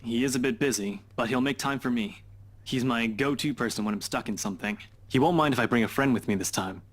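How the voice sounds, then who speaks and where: A man's voice: mid-range voice, a little fast, lightly acted. An actor playing a laid-back, skeptical young man, a practical friend, in a visual novel game. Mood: reassuring.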